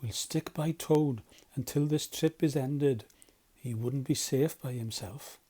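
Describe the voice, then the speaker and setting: A man voicing a good-natured, sensible river rat in a storybook, genial and measured. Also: mid-range voice, dark tone, slightly rough. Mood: loyal, hushed. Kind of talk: storybook character voice.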